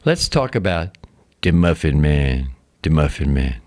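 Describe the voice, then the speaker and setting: A man's voice: a bass voice, big pitch swings, a little slow, a little mellow. A man co-hosting a talk show in casual chat, joking and rambling with some mild swearing.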